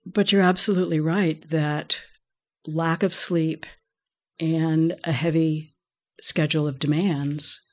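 Middle-aged female expert, low-pitched, measured and explanatory, gently wry. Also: fairly even intonation. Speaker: voice care expert guest. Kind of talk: relaxed conversational interview.

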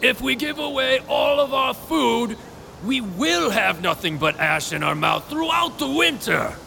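A man playing a forceful, pragmatic villager arguing emphatically in a fantasy audio drama, with a gravelly voice. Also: extremely high pitch, lively intonation, a little slow, a little hiss or hum, full character voice. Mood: indignant, objecting. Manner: emphatic, insistent oratory.

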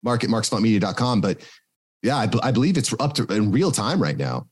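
A man's voice: lowish voice, big pitch swings, fairly quick, slightly rough. A man who runs a podcast agency, chatting casually and eagerly about tools and tips. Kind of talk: casual chat.

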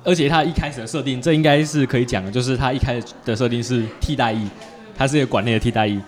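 A man's voice: mid-range voice, rapid-fire, a crowd in the background. A man with a Taiwanese Mandarin accent hosting a casual chat, telling and asking things in a colloquial way full of conversational particles. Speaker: podcast host.

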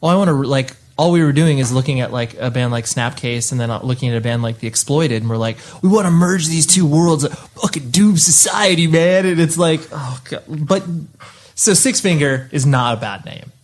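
A man in his thirties with an American accent, hosting a relaxed conversational interview in slangy, agreeable speech. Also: a little high, moderate pace. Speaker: interviewer, host.

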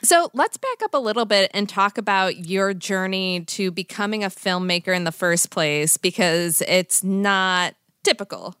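A woman hosting an interview, asking measured questions to set up her guest's story. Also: medium pitch, lively intonation, a bit bright, clean tone, dry recording, studio quality. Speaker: interview host.

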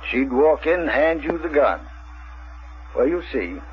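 A small-town police chief in a seventies radio drama. He talks in a folksy, hesitant rural American way, plainspoken and fair-minded. The recording is muffled and clearly noisy. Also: on the high side, lively intonation. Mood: musing, plainspoken. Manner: folksy, slow, hesitant drawl. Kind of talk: 1970s radio drama acting.

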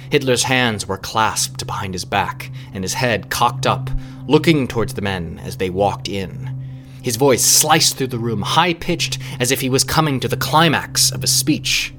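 A man narrating a history podcast as a dramatic, scripted story. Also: fairly low pitch.

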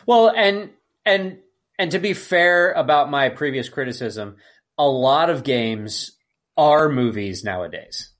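A man's voice: a little high, lively intonation, slow, fairly bright tone. A man co-hosting a podcast, chatting casually in a blunt, slangy way. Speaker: podcast co-host. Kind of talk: casual opinionated chat.

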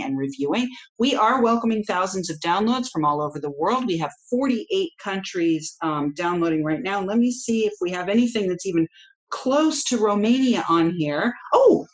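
A woman hosting a podcast, interviewing a guest and making upbeat announcements. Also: medium pitch. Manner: upbeat, promotional. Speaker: podcast host.

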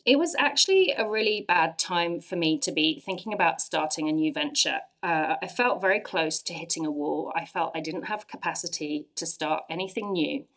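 A woman in her forties with an English accent, earnestly sharing a personal faith testimony with lively emphasis. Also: deep voice, normal speed, few pauses, rough recording. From England. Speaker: church speaker sharing testimony.

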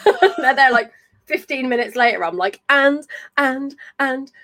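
A woman, hosting a casual chat over a video call, talkative and quick to laugh and swear. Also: sits high, animated, a bit bright. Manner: chatty, swears freely, laughs often. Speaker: podcast host. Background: faint fan hum.

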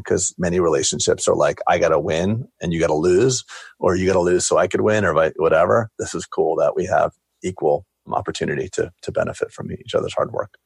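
A man's voice: low voice, creaky, slightly rough, no room sound. A man in his forties, a talkative dentist guest chatting in a casual interview, reflective and joking as he answers. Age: 40s.

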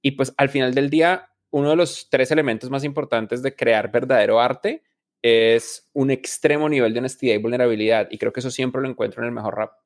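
A man's voice: mid-range voice, steady tone, a little fast. A man, a reflective interview guest who often restarts his phrases. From Colombia. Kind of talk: casual interview talk.

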